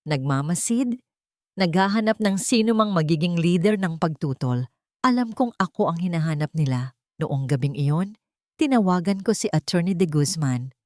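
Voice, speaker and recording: A woman with a low-pitched voice narrates a scripted audio drama in the first person. Her delivery is measured and deliberate, as a storyteller's. The sound is dry, with very little room in it. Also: expressive, a little mellow, dry recording.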